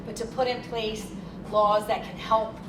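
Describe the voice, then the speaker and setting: A woman's voice: mid-range voice, unhurried. Philly-accented woman giving a keynote. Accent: Philadelphia. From Philadelphia. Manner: lively, conversational and chatty. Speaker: keynote speaker. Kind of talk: conference keynote talk. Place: conference hall. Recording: echoey hall, distant mic, noisy.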